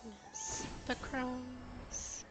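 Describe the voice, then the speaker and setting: Unhurried female game streamer, slightly high voice. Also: level tone, over loud music. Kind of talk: casual livestream game commentary.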